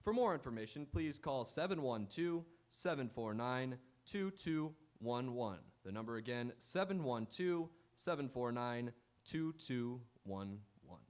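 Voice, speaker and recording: Formal mid-pitched male radio announcer. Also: animated, darkish tone. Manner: formal, deliberate. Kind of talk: scripted radio announcement in old-time show format.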